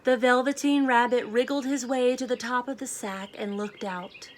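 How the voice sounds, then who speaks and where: Female children's storybook reader, higher-pitched voice, deliberate and simple delivery, some background noise. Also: fairly even intonation. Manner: deliberate, simple phrasing addressed to young children. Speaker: children's read-aloud host. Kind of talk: storybook read-aloud to children.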